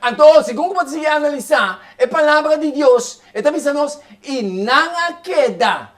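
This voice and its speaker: High-pitched preacher guy, fast and fired up, keeps repeating himself. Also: expressive, a bit bright. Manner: fervent, rapid preaching with repeated phrases. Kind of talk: sermon.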